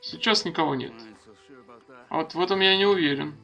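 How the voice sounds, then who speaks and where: A man's voice: fairly high pitch, a little fast, creaky, sharp tone, highs cut off, faint music behind. A man giving casual let's play commentary, reading game subtitles aloud in an informal, crude way. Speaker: gamer narrating playthrough.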